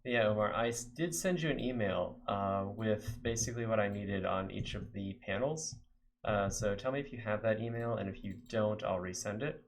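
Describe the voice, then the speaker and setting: Chatty American man, lowish voice. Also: normal speed. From Texas, USA. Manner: rambling, chatty, lots of fillers. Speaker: game developer streamer. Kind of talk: casual live-coding stream chat.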